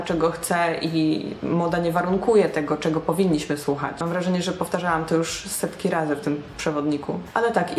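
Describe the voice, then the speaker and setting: A young woman talking to camera about alternative fashion, chatty and self-mocking, recorded in a small bright room. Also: on the low side, slight background noise. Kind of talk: casual talk to camera. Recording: roomy echo.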